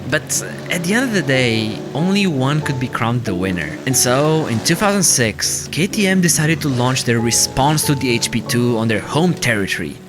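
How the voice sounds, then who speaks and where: Lively male narrator over music. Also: mid-range voice, normal speed. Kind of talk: scripted narration. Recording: music bed under the narration. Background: clear background music.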